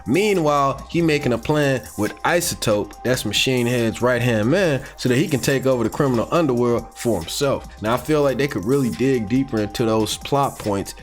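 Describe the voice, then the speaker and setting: An American man giving casual, unscripted fan commentary in a slangy, rambling, excitable way, like an online video creator. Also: medium pitch, normal speed, hardly any pauses. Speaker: YouTube commentator on comics and animation. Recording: music playing behind the voice. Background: background music.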